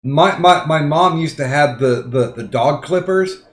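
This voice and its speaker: A man in crude casual podcast banter who hesitates a lot, with frequent ums and restarts, and delivers the jokes deadpan. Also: fairly high pitch, a little slow.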